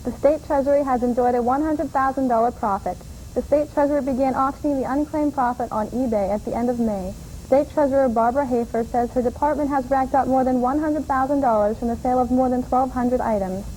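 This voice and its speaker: A woman reads the evening news for a campus TV station, her delivery even and measured. Her voice sits high but sounds soft and mellow rather than bright, with a faint hiss behind it. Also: low-quality recording. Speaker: news anchor. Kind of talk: read news bulletin. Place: student TV studio.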